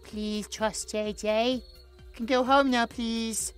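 A British man voicing a gentle, childlike possessed male creature who talks about himself in the third person with broken grammar. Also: extremely high pitch, little pitch movement, slow, music in the background. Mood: uneasy, hushed. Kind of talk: horror audio roleplay.

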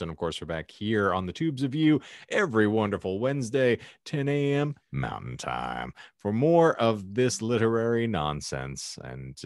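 Really low guy hosting a podcast, flowery wordy joking style, very dry sound. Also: no room sound. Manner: florid, tongue-in-cheek wordy phrasing. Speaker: podcast host. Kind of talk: casual podcast banter. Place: indoors over a video call.

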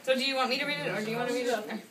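Woman reading out a birthday toast, kinda high voice, bit far from the mic. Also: fairly even intonation, very fast, crowd noise. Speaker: toast reader at a birthday party. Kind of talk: reading a speech aloud with casual asides. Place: indoor house party in a kitchen. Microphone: handheld phone camera a few feet away. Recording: noisy party, phone mic at a distance.

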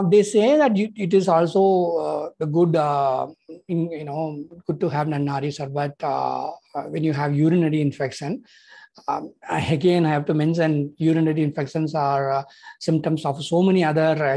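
A man in his thirties with a Tamil accent and a slightly husky, breathy voice talks casually to camera in English, his native language being Tamil. He fills pauses with "uh" and "you know" as he explains things in a halting, friendly vlog manner, recorded on a lapel mic. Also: on the high side, mellow. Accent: Indian English, Tamil. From Tamil Nadu, India. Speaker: health insurance consultant.

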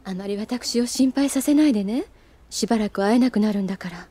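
A woman's voice: mid-range voice, fairly quick, warm tone, breathy edge, natural voice. A woman playing a proper, strict, refined upper-class teenage schoolgirl in an anime audio drama, with formal, prim speech. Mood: stern.

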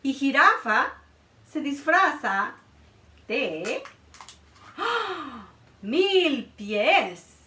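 Slow, lively woman reading a storybook. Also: sits high, reverberant, far from the mic. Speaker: children's librarian. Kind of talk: reading a picture book aloud to children. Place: small room indoors, before a board.

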